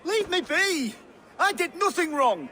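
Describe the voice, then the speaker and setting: Very high-pitched, frightened Saxon. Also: male voice, sing-song, a little slow, pushed and bright, exaggerated voice. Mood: panicked, defensive. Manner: pleading, agitated. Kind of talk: video game cutscene acting.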